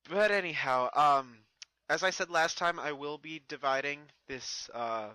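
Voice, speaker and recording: A man's voice: a little high, unhurried, sharp tone, clean tone, dry recording. A man who reads fan fiction aloud, in casual introductions and narrated story passages.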